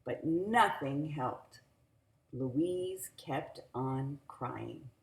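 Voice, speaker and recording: A woman reading a picture book aloud to young children in an animated, teacherly way, adding little asides. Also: deep voice, vocal fry. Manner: animated, teacherly, drawn-out phrasing. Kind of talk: expressive read-aloud with asides to young listeners. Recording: roomy home recording with echo.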